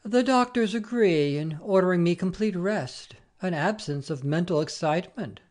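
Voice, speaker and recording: An earnest, nervy gentleman, acted by a man, speaks in a dramatic audiobook. He is polite and formal, absorbed in his own complaints. His delivery suits a classic short story. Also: high voice, animated, normal speed. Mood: earnest, self-absorbed. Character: nervous, earnest gentleman visitor, preoccupied with ailments.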